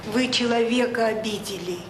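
An actress in an audio drama playing a conscientious, reproachful colleague at a children's home. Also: female voice, a little high, moderate pace, lightly acted. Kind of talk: Soviet radio drama acting. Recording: echoey, noisy radio-play recording.

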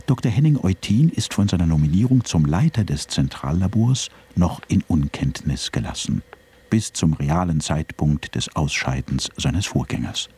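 A man's voice: low voice, lively intonation, normal speed, warm tone, breathy edge. A man narrating a radio drama in a dry, ironic way.

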